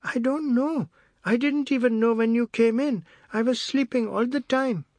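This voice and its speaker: A man's voice: extremely high pitch, moderate pace, darkish tone, no echo, voice pushed up. A man doing a frightened pleading young man. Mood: protesting.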